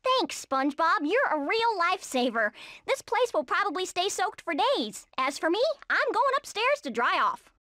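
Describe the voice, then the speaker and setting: A woman's voice: very high voice, sing-song, moderate pace, bright, forceful tone, dry recording, clean studio sound, heavily acted. A woman voicing a feisty, sporty squirrel in a cartoon video game, with a folksy, brash Texan drawl. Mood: friendly, folksy. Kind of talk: animated video game voice acting.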